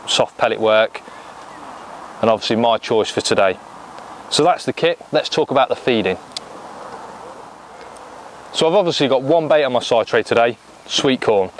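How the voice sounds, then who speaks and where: An English man in his thirties talks through fishing tips step by step in a practical, explanatory way. His voice sits in a middle pitch. He is recorded outdoors by a lake on a clip-on mic, with a faint hum of noise behind him. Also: low-quality recording. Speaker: angling coach. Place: lakeside bank outdoors.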